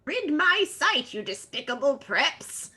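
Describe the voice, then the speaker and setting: A shrill, piercing villain's lackey acted out by a woman, declaiming every line in hammy melodrama. The voice is extremely high and sharply bright, built for an overblown comic fan fiction reading. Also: very animated, slow pace, a little hiss or hum, full character voice. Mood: scornful. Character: despicable, lovestruck male henchman villain, shrill and overwrought.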